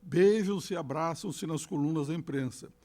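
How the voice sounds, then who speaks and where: An older man giving a formal lecture, reading passages aloud and stopping for halting asides with fillers and self-corrections, speaking at a podium microphone in a lecture hall. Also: a little high, mellow. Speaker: lecturer at a literary academy. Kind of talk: formal lecture with read quotations.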